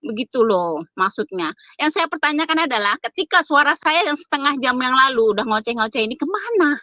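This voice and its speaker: A woman's voice: high-pitched, expressive, brisk, bright, forceful tone, no echo, lo-fi sound. A woman in an informal online debate, insistently repeating her point and questions as she argues. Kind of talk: informal spontaneous argument. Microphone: phone on an online call.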